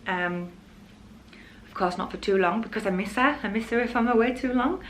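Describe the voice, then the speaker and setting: A woman's voice: on the high side, normal speed, a little hiss or hum. A young woman with a Yorkshire accent, chatting casually and candidly in a rambling way to her vlog viewers. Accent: Yorkshire English. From Hull, Yorkshire, England. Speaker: vlogger.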